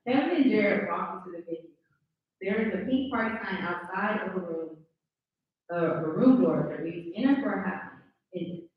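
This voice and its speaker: A woman's voice: fairly low pitch, lively intonation, normal speed. A woman narrating stage directions at a live table read, heard from a distance in a dull, echoey room. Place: indoor event room, live stream.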